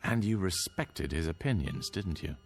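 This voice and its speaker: A man's voice: low voice, lively intonation, normal speed, soft background music. A man playing a patronising doctor in a radio drama, with hesitant, condescending reassurance. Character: smooth, patronising male doctor at a futuristic clinic.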